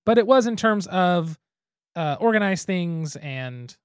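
A man's voice: high voice, animated, a little slow, clear, resonant tone, dry recording, clean studio sound. A man co-hosting a podcast, chatting casually and thinking aloud as he reviews a beer. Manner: easygoing, thinks aloud with restarts. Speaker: podcast co-host.

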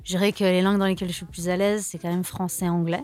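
A woman's voice: fairly low pitch, little pitch movement, fast, a little mellow. A young woman, a singer-songwriter, answering interview questions in a casual, hesitant way with many restarts. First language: French.

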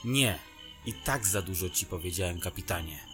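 A man playing a sly, fearful underworld fixer in a fantasy audio drama, evasive and drawn-out in his speech. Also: sits low, lively intonation, a bit bright, faint music behind. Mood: reluctant.

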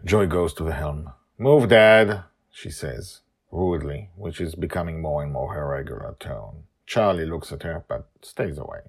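Deep voiced guy doing a story podcast, husky, dramatic emphasis all over. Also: normal speed, a little mellow. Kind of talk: scripted narration and casual podcast hosting.

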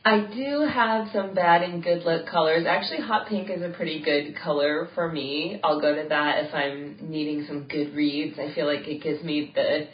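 A woman's voice: sits low, moderate pace, hardly any pauses. A woman co-hosting a podcast, talking casually while stuffy from a cold and laughing often as she tells stories. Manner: laughs often, animated storytelling. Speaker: podcast co-host. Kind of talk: casual chat. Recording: roomy, distant, dull lo-fi sound.

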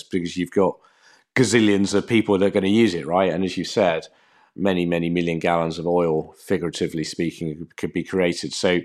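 A man with a low, deep voice hosts a conversational interview. He frames his questions thoughtfully, drawing them out at an unhurried pace. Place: in London.

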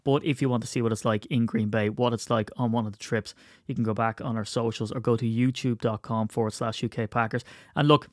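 A man's voice: medium pitch, steady tone, a little fast, no echo, studio quality. A man hosting his own podcast, stuffy from a cold, rambling and poking fun at himself. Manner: rambling, self-mocking, chatty. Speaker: podcast host. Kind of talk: casual solo podcast chat.

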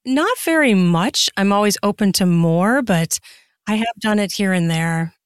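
A woman's voice: mid-range voice, lively intonation, unhurried, clear, resonant tone, no echo, clean studio sound. A woman speaking as a podcast guest in casual interview chat, chatty and open as she explains things. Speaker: podcast guest, erotica author and narrator.

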